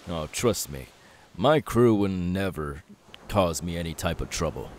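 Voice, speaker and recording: Casting: young pirate male performer, low-pitched, easygoing and reassuring, for intimate ASMR roleplay. Light hiss on the track. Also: animated, a little slow, darkish tone. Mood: confident, teasing. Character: easygoing young pirate man, kindly and self-assured. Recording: faint background hiss.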